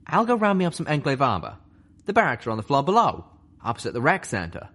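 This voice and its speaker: A stern, folksy pegasus stallion, as a man reading the story puts him on. He drawls his orders, raised above the reader's own low voice and pushed loud. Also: huge pitch swings, fast, full character voice. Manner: drawling, rough folksy speech.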